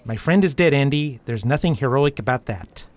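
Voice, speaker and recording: An actor playing a brooding, gruff war-veteran detective in a radio drama. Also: male voice, mid-range voice, lively intonation, moderate pace, lightly acted. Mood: bitter.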